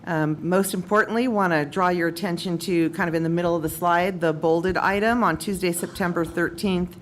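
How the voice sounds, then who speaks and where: A woman who is a school superintendent, giving a relaxed but formal update at a board meeting. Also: lowish voice, normal speed, a little hiss or hum.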